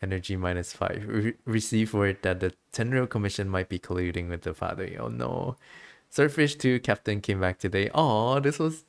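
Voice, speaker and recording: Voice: sits low, moderate pace, vocal fry. A streamer giving casual, chatty commentary on a game and reading its dialogue aloud.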